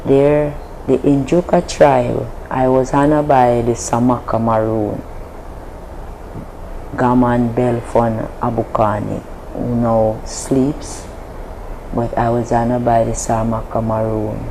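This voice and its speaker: A woman from Jamaica with a Jamaican accent, a guest telling a long story in an interview, slow and deliberate with frequent restarts. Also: extremely low pitch, flat delivery, mellow, slight background noise, rough recording. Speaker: interview guest, Maroon leader.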